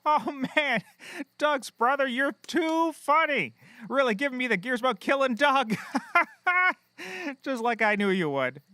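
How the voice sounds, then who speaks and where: A man's voice: very high voice, big pitch swings, a little slow, sharp tone, exaggerated voice. A man playing a folksy, self-pleased, dubious private eye in a scripted audio comedy, jaunty and exaggerated. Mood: jovial. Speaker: lead character.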